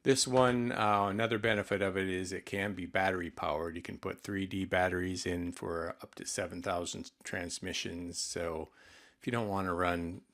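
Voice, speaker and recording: Guy with a low voice doing a casual product walkthrough, plainspoken, bit hesitant. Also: level tone, normal speed, a bit bright. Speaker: product presenter for an intercom retailer. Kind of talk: informal product demonstration.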